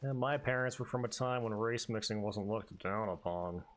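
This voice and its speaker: A man's voice: lowish voice, moderate pace. A man casually commentating his gameplay, cursing and rambling with self-mocking jokes. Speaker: let's player.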